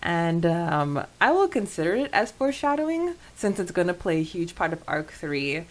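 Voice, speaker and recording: A woman co-hosting a podcast in casual discussion, enthusiastic and quick to giggle as she recaps a story. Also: lowish voice, big pitch swings. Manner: enthusiastic, giggly, frequent laughing. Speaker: podcast co-host. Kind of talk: casual discussion recapping a fan fiction chapter.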